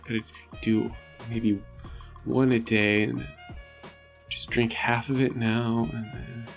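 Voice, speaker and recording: A man's voice: medium pitch, little pitch movement, slow, gravelly, soft background music. A man voicing a nervous but generous hoarder in a tabletop game, muttering and stammering hesitantly. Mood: anxious, hesitant. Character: nervous, generous hoarder anxiously rationing his sodas.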